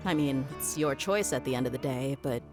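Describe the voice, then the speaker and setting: A woman's voice: a bass voice, fairly quick, music in the background. An actress playing a frank, casual woman guard in an anime-style video game. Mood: concerned.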